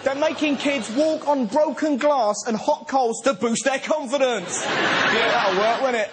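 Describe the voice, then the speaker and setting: A man's voice: very high voice, a little slow. A man hosting a comedy show, delivering a jokey stand-up monologue. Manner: jokey, puts on silly voices. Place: TV studio with live audience. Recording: loud crowd and noise. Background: audience laughing.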